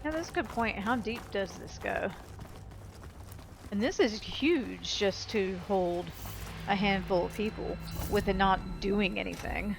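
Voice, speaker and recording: A woman's voice: medium pitch, measured pace, creaky, background noise. A woman giving casual gameplay commentary, chatty and explanatory, often hedging her thoughts.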